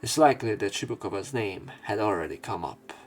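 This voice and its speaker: A low-pitched man narrates a visual novel in a let's play. He reads in a halting way and breaks off for asides, with game music playing clearly behind him. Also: expressive. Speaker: let's player reading a visual novel aloud. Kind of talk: narration and commentary over a game, reading aloud with asides.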